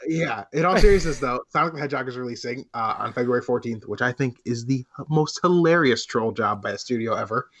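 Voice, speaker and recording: A man co-hosting a casual discussion podcast, setting up topics in a measured, deliberate way with some casual slang. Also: mid-range voice, lively intonation, fairly bright tone. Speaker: podcast host.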